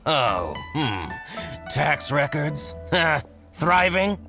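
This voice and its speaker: A scheming shopkeeper from a video game. His theatrical delivery leaps up and down dramatically and takes its time, relishing each exclamation. Music plays clearly behind him. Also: on the high side, very animated, extremely slow, heavily acted. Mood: indignant. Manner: theatrical, exclaiming with grunts and chuckles. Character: scheming, excitable male merchant, spiteful toward business rival. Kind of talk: English video game voice acting.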